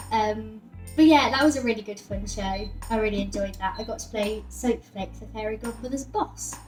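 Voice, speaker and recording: A woman's voice: on the high side, darkish tone. A woman chatting casually and cheerfully as she talks through a recipe she is cooking at home. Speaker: home cook presenting a recipe. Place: home kitchen, indoors. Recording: roomy kitchen with music and clattering dishes.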